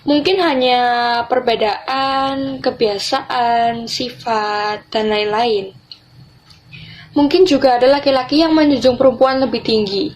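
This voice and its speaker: A woman's voice: high-pitched, slow, a little hiss or hum. A teenage young woman with an Indonesian accent, explaining things as a student podcast member.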